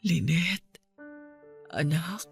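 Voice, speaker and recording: A woman playing a frail, gentle older nanny in a Tagalog radio drama, speaking in a weary, tender, halting way. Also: fairly low pitch, lively intonation, gravelly, faint music behind, full character voice. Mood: weak, confessional.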